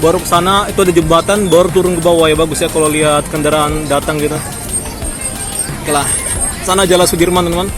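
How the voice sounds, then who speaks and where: A man's voice: a little high, fairly even intonation, fast talker. A man from Jakarta with a Batak accent, chatting casually to his viewers while walking through a city street. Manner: chatty, keeps addressing viewers as friends. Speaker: vlogger. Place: busy city street outdoors. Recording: street crowd and music behind. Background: crowd and music.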